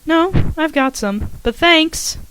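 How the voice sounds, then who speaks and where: A woman reading aloud and voicing a shy young female college student who talks softly with nervous, wry humor. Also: sits high, animated, slow pace, pitched above the performer's own voice, full character voice, low-quality recording. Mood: light.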